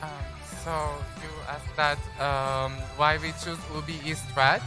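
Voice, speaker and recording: Male player in halting English. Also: a little high, little pitch movement, over music. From Turkey. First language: Turkish. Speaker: esports player.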